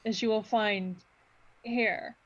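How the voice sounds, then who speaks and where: A woman's voice: mid-range voice, steady tone, slow, dry recording, dull audio. A woman playing a tabletop roleplaying game, improvising casual table talk partly in character.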